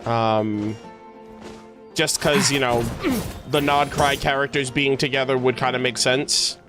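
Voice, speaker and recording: A man's voice: mid-range voice, fairly even intonation, measured pace. A man streaming, chatting casually and rambling as he thinks aloud to his viewers. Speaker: livestreamer, host. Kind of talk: casual livestream chat. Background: music.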